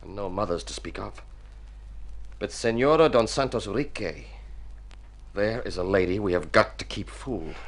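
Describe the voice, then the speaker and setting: An actor playing a young Texan outlaw in a radio drama, with a terse, menacing, resolute manner. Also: male voice, lowish voice, lively intonation, normal speed, pauses often, rough recording. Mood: grimly determined. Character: gruff young Texan outlaw posing as a long-lost son.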